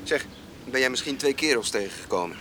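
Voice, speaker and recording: A man acting a stubborn, contented carter in a radio play. He speaks gruffly and without worry in a middle-pitched voice, over clearly noisy ambience. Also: lively intonation, fairly quick, natural voice. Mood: friendly, curious. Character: easygoing, stubborn wagon driver, content and unafraid. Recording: noisy 1980s radio drama with outdoor ambience.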